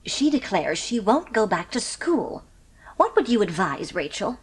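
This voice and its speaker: A woman's voice: mid-range voice, very animated, a little slow, noisy background. An actress playing a stern, no-nonsense older farm woman in a dramatised audiobook, curt and matter-of-fact. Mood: resigned.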